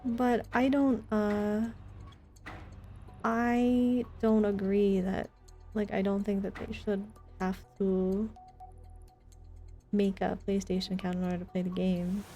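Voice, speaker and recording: Woman game streamer, warm mellow tone, casual and chatty, reacting to chat, faint music behind. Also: mid-range voice, flat delivery, slow, pauses often. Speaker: video game livestreamer. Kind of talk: casual livestream chat while gaming.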